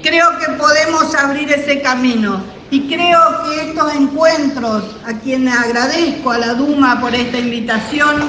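A woman with an Argentine accent giving a measured political speech at a conference, repeating phrases for emphasis. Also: sits high, bright, forceful tone. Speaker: parliamentarian speaking at a conference. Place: large conference hall. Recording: roomy echoing hall, faint noise.

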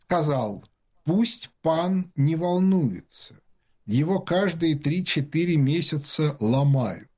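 Husky man telling lively anecdotes. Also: on the high side, takes it slowly. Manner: animated anecdotal storytelling. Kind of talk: casual conversation.

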